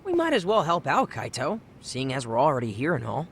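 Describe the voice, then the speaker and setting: A man's voice: a little high, huge pitch swings, a little fast, gravelly, a little hiss or hum, full character voice. A man playing an eager, hopeful teenage boy in a video game cutscene. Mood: upbeat.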